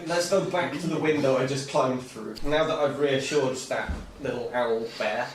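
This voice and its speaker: A man's voice: mid-range voice, normal speed, a loud crowd. A man chatting casually as a player in a tabletop game, playful and rambling as he improvises. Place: echoey indoor room. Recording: roomy, echoing home recording.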